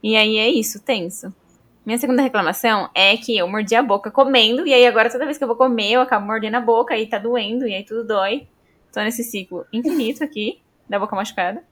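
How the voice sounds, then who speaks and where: Fast-talking Brazilian woman podcast host. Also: a little high, fairly bright tone. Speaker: podcast host, trained journalist. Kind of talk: casual chat.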